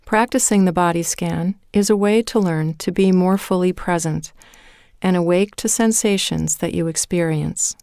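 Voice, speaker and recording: A woman leading a guided meditation in a calm, measured way, with deliberate pauses between phrases. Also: fairly low pitch, clear, resonant tone, dry recording, studio quality. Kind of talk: guided meditation, scripted narration.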